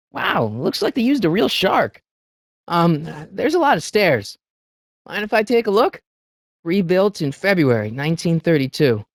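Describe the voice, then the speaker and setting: An American teenage boy for a video game, with a high, youthful voice that jumps around expressively. He is excitable and wisecracking, with a hesitant, stammering boyishness. The sound is dry and clean, with no room around it. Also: no room sound, full character voice. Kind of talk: video game voice acting, short character lines.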